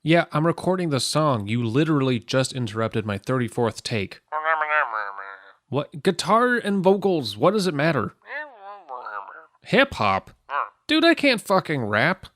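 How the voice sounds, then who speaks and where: A man's voice: on the high side, very animated, a little slow, pauses often, fairly bright tone, no room sound. A man playing an irritated, sarcastic songwriter arguing through one side of a call in a comedy skit. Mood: exasperated, grudging. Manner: irritated, foul-mouthed, sarcastic. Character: exasperated male songwriter griping on a phone call. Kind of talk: scripted comedy skit monologue.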